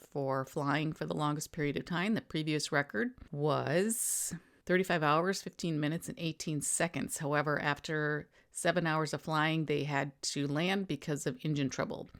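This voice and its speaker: Low-voiced woman reading old news. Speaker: narrator reading old newspaper headlines. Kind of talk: news reading with informal summarizing asides.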